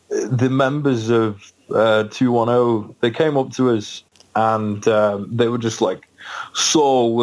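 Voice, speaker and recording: A man's voice: lowish voice, a little mellow, low-quality recording. A man, a band's guest on a podcast, telling a story hesitantly with many ums and frequent laughs. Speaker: guest musician in a band. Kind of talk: casual podcast interview storytelling.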